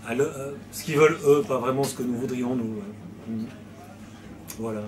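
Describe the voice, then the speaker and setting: A man's voice: medium pitch, normal speed, darkish tone, a little hiss or hum. A man from Switzerland, a novelist answering interview questions in a hesitant way, with many fillers and restarts.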